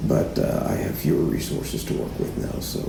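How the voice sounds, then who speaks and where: An actor playing a methodical small-town police chief conducting a recorded interview in a halting, procedural way, in a naturalistic fiction podcast. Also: male voice, noisy background, natural voice. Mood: measured, probing. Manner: procedural, halting, full of hesitations. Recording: roomy interview-room echo, music behind.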